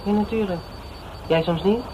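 An actor playing a stubborn, determined amateur sleuth in a Dutch radio crime drama, talking bluntly and colloquially. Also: male voice, high-pitched, normal speed, muffled recording, lightly acted. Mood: guarded, wry. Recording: noisy old radio drama recording.

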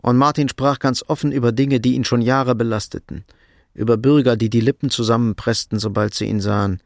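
A man's voice: medium pitch, steady tone, a little fast, creaky, no echo, clean studio sound. A man reading scripted literary narration as the narrator of a radio drama.

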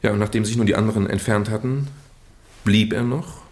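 A man acting in a German radio drama as a British gentleman nephew, earnestly and haltingly confessing a well-meant deception in genteel, roundabout phrases. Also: lowish voice, fairly even intonation, natural voice. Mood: earnest, confiding. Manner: hesitant, roundabout, genteel storytelling with stammered repeats.

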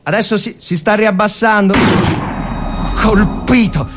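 A man's voice: high voice, a little slow, heavy background noise, voice pushed up. An actor playing a tense young lieutenant in a war story, barking orders and brooding over his men. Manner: barks orders, then broods. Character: tense young army lieutenant in the trenches, commanding, anguished.

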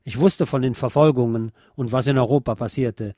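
A man's voice: medium pitch, brisk, warm tone, no room sound, clean studio sound. A man reading a scripted history documentary as narrator. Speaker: narrator of a history radio series.

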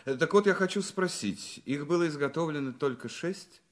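A man acting a courteous, probing, confident detective in a Russian audio play, questioning politely and deliberately. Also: fairly high pitch, lively intonation, lightly acted. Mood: polite, inquisitive.

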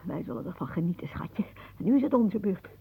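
An actress playing a weary, bitter older aunt in a radio drama, musing theatrically. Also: female voice, fairly low pitch, expressive, a little fast, vocal fry, breathy edge, heavily acted. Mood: dry, spiteful. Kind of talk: radio drama acting, monologue-like. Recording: muffled, narrow-band old radio recording.

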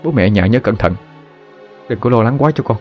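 A man voicing a sick young man who talks quickly and earnestly. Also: medium pitch, expressive, darkish tone, faint music behind, close to an everyday voice. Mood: reassuring.

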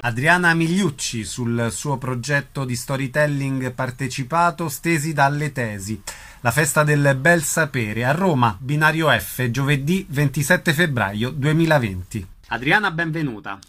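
A man's voice: mid-range voice, unhurried, a bit bright. A man hosting a radio interview, introducing the guest and putting short questions.

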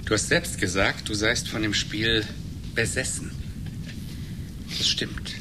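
A sober, sceptical man reproaches in a measured, dry delivery. His voice sits fairly low, in an old radio drama recording with clear noise and rumble. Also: fairly even intonation. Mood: sceptical concern. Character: sceptical, scornful old friend, sober captain. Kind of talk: German radio play acting.

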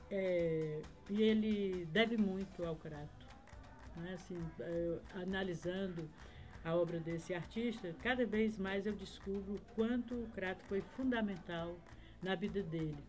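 A woman's voice: on the low side, darkish tone. A woman from Acre, Brazil, a podcast guest, reminiscing in a rambling way with many fillers and tag questions. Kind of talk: casual conversation, reminiscing.

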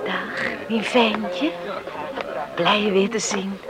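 A woman's voice: mid-range voice, steady tone, takes it slowly, over a noisy crowd, natural voice. A woman playing a shy, affectionate young village girl in love in a Dutch radio play. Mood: affectionate, calm. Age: young adult.

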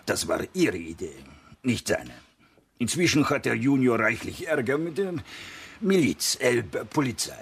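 An actor playing a football official, talking formally and confidingly in a measured, slightly hesitant way in a radio drama. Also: male voice, medium pitch, sing-song, creaky, husky, exaggerated voice. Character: male football association official, formal and discreetly gossipy.

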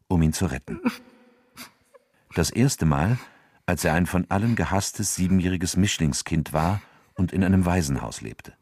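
A man's voice: deep voice, darkish tone, dry recording, clean studio sound. A man narrating a radio drama from a script.

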